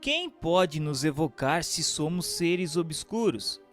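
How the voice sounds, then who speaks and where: A man reads questions and notes as scripted radio drama narration, in a formal, deliberate manner. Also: a little high, expressive, fairly bright tone. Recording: long reverberant hall sound. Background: music.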